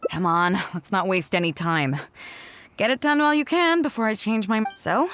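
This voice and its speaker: A woman's voice: medium pitch, normal speed, fairly bright tone, natural voice. A woman voicing a bold, proud female ship captain in a video game, confident and teasing, with a theatrical delivery. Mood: playful, impatient. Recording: music under the voice. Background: background music.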